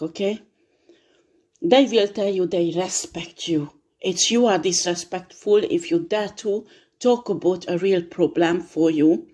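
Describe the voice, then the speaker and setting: Slow-talking woman giving frank advice. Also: fairly low pitch, darkish tone. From not France. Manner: earnest, frank, many fillers and restarts. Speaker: tarot reader. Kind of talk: direct-to-camera advice talk.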